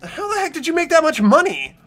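A man voicing an earnest, easily amazed ordinary high school boy in a visual novel game, questioning and incredulous. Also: big pitch swings, fast, fairly bright tone, soft background music, full character voice.

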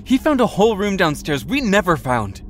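A man's voice: sits high, sing-song, moderate pace, fairly bright tone, a little hiss or hum. A man playing an eager young man pleading with his uncles. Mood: urgent. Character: young man torn between uncles and friends.

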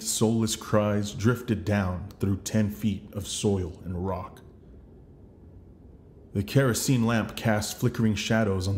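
A man's voice: fairly low pitch, darkish tone, a little hiss or hum. A man working as a voice actor, reading scripted narration for a demo. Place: home recording setup.

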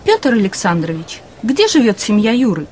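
A woman reading a scripted language course in a measured, carefully enunciated way. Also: medium pitch, sing-song, a little mellow, a little hiss or hum. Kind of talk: scripted language lesson, slow clear reading.

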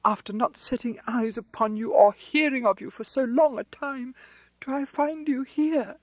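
A man reading an audiobook, voicing a loving, tearful middle-aged Englishwoman with high crying outbursts. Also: a little slow, husky, no echo.

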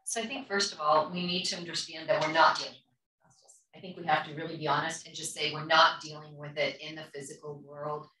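A woman's voice: low voice, level tone, fairly quick, bright, forceful tone. A woman from Croatia speaking as a panelist, candid and blunt, telling a personal story. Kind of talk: panel discussion. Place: conference room. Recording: echoey room from far off.

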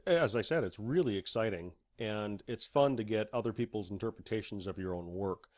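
A man's voice: lowish voice, fairly quick, a little mellow, dry recording, clean studio sound. A man speaking casually as an author being interviewed on a podcast.

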